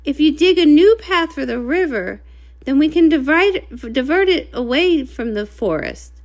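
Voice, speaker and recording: Woman acting as small young male unicorn, excitable, earnest, high pitch, swooping intonation, storybook character. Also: moderate pace. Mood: earnest, insistent.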